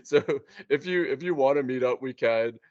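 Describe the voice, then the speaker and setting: A man's voice: medium pitch, normal speed, a little mellow, no room sound. A man with a mid-range voice talks casually and chattily, as if swapping stories with a friend. The sound is dry and close, though a little dull and lo-fi. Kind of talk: casual chat.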